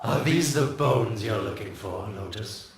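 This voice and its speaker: A smug, mocking game schemer. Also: male voice, lowish voice, lively intonation, unhurried. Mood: teasing. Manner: teasing, sarcastic, chuckling. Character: sly scheming male inventor, mocking and smug. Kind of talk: video game cutscene voice acting. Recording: very echoey, distant, faint noise.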